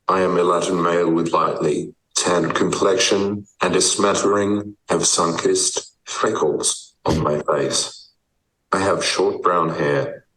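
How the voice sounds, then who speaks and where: An eighteen-year-old man speaking through text-to-speech software with an Australian accent, in a measured, proclaiming synthetic delivery. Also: low-pitched, echoey room, distant mic, poor audio. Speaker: guest, advocate. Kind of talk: typed text read aloud by speech software.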